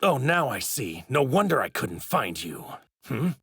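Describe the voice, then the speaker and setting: An actor in an English anime dub playing an arrogant, sadistic god-like villain with a haughty, theatrical delivery and a gravelly, sharp-edged voice. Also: male voice, mid-range voice, very animated, a little slow, no room sound, studio quality, exaggerated voice. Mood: brooding, puzzled. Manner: haughty, theatrical, savoring his words. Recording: ripped anime audio with faint noise.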